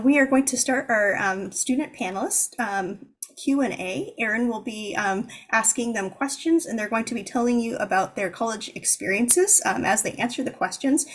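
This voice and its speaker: A woman presenting slides in a webinar, informative but halting, with lots of "um"s between phrases, over a video call. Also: a little high. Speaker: presenter for the organizing fund.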